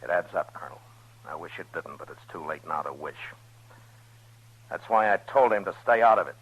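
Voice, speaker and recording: An actor playing a grave, weary frontier marshal in an old-time radio western, speaking tersely and somberly. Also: male voice, low voice, expressive, fairly quick, a bit bright, husky, exaggerated voice, poor audio. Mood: regretful. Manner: terse, somber, plain-spoken.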